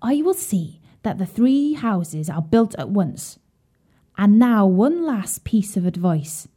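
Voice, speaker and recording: A woman's voice: mid-range voice, sing-song, slow pace, mellow, breathy edge, full character voice. Woman voicing a loving old mother pig. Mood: earnest warning.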